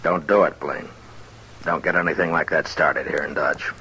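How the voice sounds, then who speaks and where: An actor playing a stern, steady U.S. marshal in an old-time radio western, measured, authoritative and plain-spoken. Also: male voice, rumbling low, husky, exaggerated voice, rough recording. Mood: firm warning.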